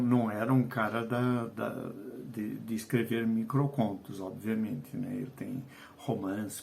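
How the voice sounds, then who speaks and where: An older man from Portugal, his accent softened by decades in Brazil, gives a conversational video lesson as a writer and educator, often tagging questions and slowing down to stress key phrases. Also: on the low side, creaky, slight background noise. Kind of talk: video lesson on short fiction.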